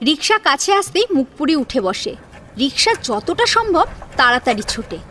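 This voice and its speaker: A woman's voice: high voice, big pitch swings, moderate pace, bright, forceful tone. A woman narrating an audio story in a dramatic, scripted storytelling style. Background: faint background music.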